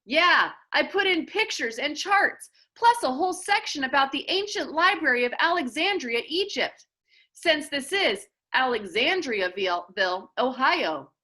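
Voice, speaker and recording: An excitable schoolboy played by a woman reader, pitched high and bright. He is eager and slangy, exclaiming in big swoops as a storybook character who loves to brag. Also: unhurried, full character voice. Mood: excited. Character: excitable, bragging middle school boy, super enthusiastic.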